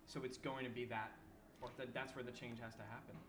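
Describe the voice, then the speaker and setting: A male podcast host asks short, casual questions in a mid-range voice. His voice carries the echo of a reflective room, and a clear layer of noise sits behind it. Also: mid-range voice, little pitch movement, brisk. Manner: brief, asks questions, backchannels. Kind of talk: casual interview conversation. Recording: echoey room with noticeable noise.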